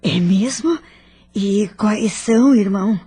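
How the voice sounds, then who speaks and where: A Brazilian woman playing an emotional, devout daughter in a spiritist audio drama, tender and eager, in a husky voice. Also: mid-range voice, slow pace, warm tone. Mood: eager joy.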